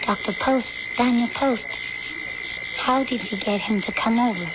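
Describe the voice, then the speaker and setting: Woman acting earnest high-voiced daughter, noisy old radio. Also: unhurried, close to an everyday voice. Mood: puzzled, insistent. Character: devoted, needy schoolgirl daughter narrating her memories. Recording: noisy, dull old-time radio recording.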